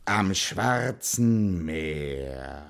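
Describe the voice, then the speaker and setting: Children's radio dog host: friendly and curious, a low voice with a slow, lively lilt. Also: male voice, creaky, exaggerated voice. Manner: kindly, asks simple questions.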